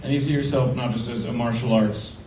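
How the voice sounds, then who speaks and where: A man's voice: mid-range voice, fairly even intonation, a little fast. A man moderating a screening Q&A, chatty and joking with the guest. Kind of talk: live audience Q&A. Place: theater hall with audience. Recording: echoey hall from far off, noisy.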